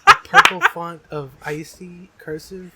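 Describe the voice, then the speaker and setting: A man's voice: fairly high pitch, wildly swooping pitch, measured pace, gravelly, heavy background noise. A man co-hosting a podcast, chatting casually and comically with insistent, profane riffing. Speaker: podcast co-host. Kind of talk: casual comedic banter.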